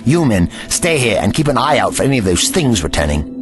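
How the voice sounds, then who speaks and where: A man's voice: medium pitch, sing-song, brisk, over music, lightly acted. A man playing a genial, self-assured old time-traveller in an audio drama, pompous and avuncular, given to muttering. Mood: absorbed, muttering.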